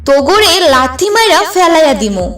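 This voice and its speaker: A woman's voice: sits high, big pitch swings, normal speed, recorded from a distance, very noisy. A woman voicing a feisty, combative young female football fan in a comic cartoon dub. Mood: angry, combative. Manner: combative, sharp-tongued.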